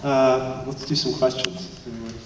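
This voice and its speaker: A man's voice: medium pitch, level tone, a little slow. A man moderating a festival panel in a friendly way, repeating audience questions for the room. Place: large auditorium. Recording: echoey hall, mic heard from far off, noisy.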